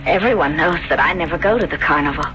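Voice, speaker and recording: Meek young woman, self-deprecating, earnest, sharp bright tone, 1970s radio melodrama. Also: low voice, fast talker, dull audio. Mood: shy, flattered. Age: young adult. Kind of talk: 1970s radio drama acting. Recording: vintage radio broadcast, music behind.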